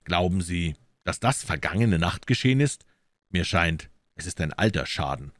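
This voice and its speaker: A cool, incisive gentleman detective performed by a male audiobook reader. He is low-pitched, courteous and commanding, stressing his points deliberately in a dry recording. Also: animated, no room sound. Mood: cool, probing.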